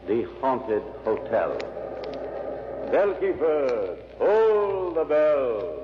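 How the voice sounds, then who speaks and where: Ominous, echoing slow storyteller. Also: male voice, mid-range voice, big pitch swings. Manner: slow, portentous. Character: solemn, ominous storyteller of macabre old tales. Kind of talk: 1940s radio horror announcing. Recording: echoey, muffled, noisy old radio recording.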